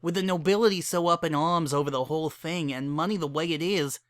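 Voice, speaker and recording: A woman's voice: low-pitched, brisk, no echo, pitched above the performer's own voice, natural voice. A woman voicing a timid, anxious young female pony in a dramatic story reading, hesitant and pleading. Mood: anxious, reluctant.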